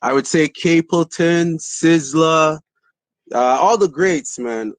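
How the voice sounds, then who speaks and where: A man from Barbados with a Barbadian accent, talking about his music as a guest on a podcast in a relaxed, rambling way. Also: a little high, takes it slowly, no room sound. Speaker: dancehall artist guest. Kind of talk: casual interview.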